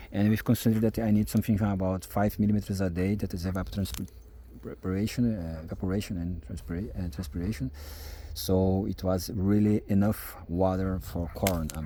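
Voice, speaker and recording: A man's voice: on the low side, dark tone, a little hiss or hum. A man with a Brazilian Portuguese accent, speaking hesitantly with many fillers in a casual outdoor farm vlog. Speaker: farmer vlogger.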